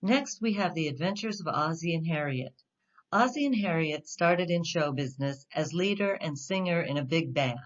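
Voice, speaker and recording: A woman's voice: low voice, highs cut off. A woman hosting a radio show, reading a scripted introduction.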